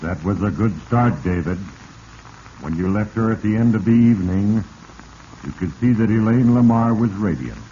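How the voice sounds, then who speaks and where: Guy acting as fate narrating a 40s radio mystery, deep dark voice, deliberate and judging, hissy old recording. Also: animated, close to an everyday voice. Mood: stern, knowing. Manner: deliberate, accusing second-person address. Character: Fate, an omniscient, stern, accusing male spirit narrator. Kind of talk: 1940s radio drama narration. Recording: dull, noisy vintage radio recording.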